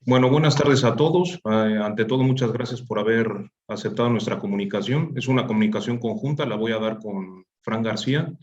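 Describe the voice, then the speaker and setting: A man's voice: mid-range voice, fairly quick, hardly any pauses, a little mellow, a little hiss or hum. A man with a Mexican accent, an architect, opening his conference presentation over a video call in a friendly, informal way. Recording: dull video call audio.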